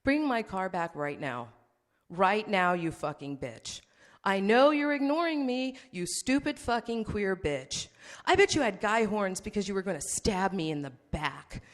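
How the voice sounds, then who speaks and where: A woman's voice: medium pitch, animated, unhurried, close to an everyday voice. A woman voicing a crude, needy man who swings between whining pleas and snarling insults. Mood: angry. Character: crude, needy, abusive man texting his date. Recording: long hall reverb.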